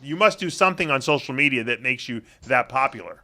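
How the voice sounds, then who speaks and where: Bright-voiced teasing male interviewer. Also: mid-range voice, animated, fast. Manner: teasing, chatty, stumbling restarts. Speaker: interviewer, show host. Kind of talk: casual interview.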